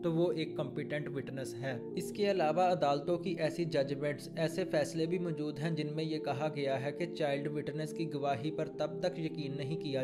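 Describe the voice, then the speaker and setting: A man with a Pakistani accent presenting a scripted legal explainer as an advocate, formal and methodical, going point by point. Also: a little high.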